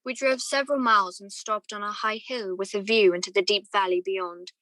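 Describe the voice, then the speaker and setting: A woman's voice: medium pitch, fairly even intonation, a little fast, a bit bright, no echo, natural voice, poor audio. A young woman playing a wistful, courteous man who narrates his memories lyrically in a radio play. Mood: wistful, reflective. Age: young adult. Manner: lyrical, reflective storytelling. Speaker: student actor.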